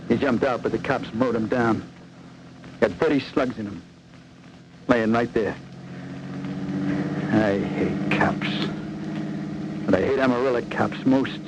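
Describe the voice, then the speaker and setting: A man's voice: medium pitch, takes it slowly, long pauses, natural voice. Noisy old radio crime drama: male actor as bitter gangster cop killer, tough slangy talk. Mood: hateful. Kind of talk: 1940s radio acting.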